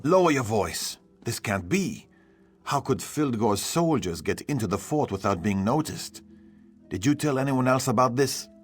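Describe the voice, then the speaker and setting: An actor playing a shrewd, grave lord and advisor in a fantasy video game, speaking in a measured, formal way. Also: male voice, mid-range voice, animated, husky. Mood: grave, urgent. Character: shrewd, grave lordly male advisor to a jarl. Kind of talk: video game dialogue acting. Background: music.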